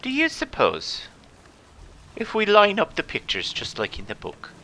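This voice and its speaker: A man's voice: sits high, wildly swooping pitch, a little slow, sharp tone, exaggerated voice. A man voicing a blustery, theatrical pirate captain who spins adventure tales in exclamatory old seafaring talk. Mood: eerie wonder. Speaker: storyteller and host. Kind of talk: in-character adventure game narration for children.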